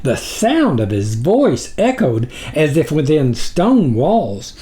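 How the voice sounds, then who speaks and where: Slow-paced man narrating dramatically. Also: on the high side, big pitch swings, warm tone. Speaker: author reading his own memoir.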